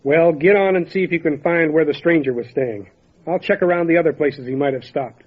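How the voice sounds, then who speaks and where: A calm county lawman played by a male actor in an old-time radio horror drama. He questions people in a measured, serious way, with a voice a little higher than most men's. The recording is dull and lo-fi. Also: lightly acted. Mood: steady, pensive. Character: calm, methodical small-town county lawman investigating a death.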